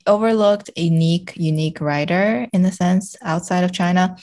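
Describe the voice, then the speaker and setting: A woman's voice: lowish voice, moderate pace, darkish tone. A woman speaking as a podcast guest in a casual literary discussion, feeling hesitantly for her words.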